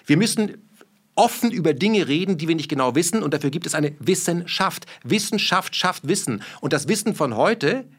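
A man's voice: fairly high pitch, lively intonation, moderate pace, fairly bright tone, breathy edge. A man from Germany, talking in a heated conversation with emphatic rhetorical questions and blunt swearing.

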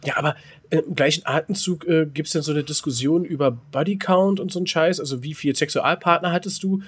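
A man's voice: fairly high pitch, a little fast, husky. A middle-aged man co-hosting a podcast in casual, bantering chat, talking in a blunt, colloquial way with some crude slang. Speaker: podcast co-host.

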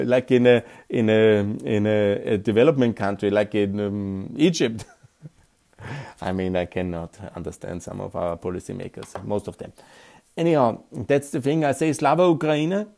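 Earnest Austrian-accented man, mellow voice. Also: mid-range voice. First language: German. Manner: earnest, frequent uh and yeah tags. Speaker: podcast host. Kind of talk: solo political commentary.

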